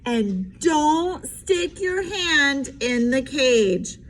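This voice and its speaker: Woman as mother frog, storybook character, firm, fussy, exaggerated calling, high voice, animated. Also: very slow, exaggerated voice.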